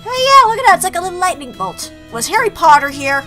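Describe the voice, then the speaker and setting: A woman's voice: extremely high pitch, sing-song, fast, over music. A woman hosting a let's play, chatting playfully and reacting aloud as she reads through a game. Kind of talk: gaming commentary with read-aloud game text.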